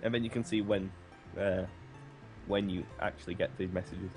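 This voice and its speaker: A man's voice: sits low, fairly even intonation, normal speed, faint music behind. A man joining a game stream who chats casually, mostly agreeing and laughing along. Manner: short agreeing replies, easy laughter. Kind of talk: casual chat.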